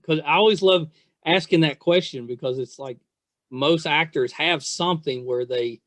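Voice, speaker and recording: A man's voice: on the high side, normal speed, fairly bright tone. A man interviewing a guest in a casual, chatty and agreeable way, often restarting his sentences. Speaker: podcast interviewer.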